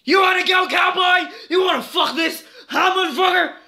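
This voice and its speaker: A man's voice: very high-pitched, normal speed, vocal fry, sharp tone, shouting, voice pushed up, heavily acted. A man voicing a volatile, unhinged video game criminal, aggressive and ranting. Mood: furious, taunting.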